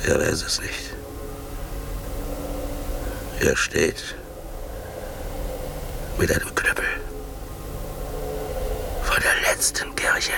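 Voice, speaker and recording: A man's voice: a bass voice, little pitch movement, vocal fry, whispered, heavily acted. A man playing a grim, fanatical cobbler who guards a church, in a brooding 1950s German radio drama style that turns to ranting. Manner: brooding, halting, rising to ranting zeal. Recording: noisy 1950s radio recording.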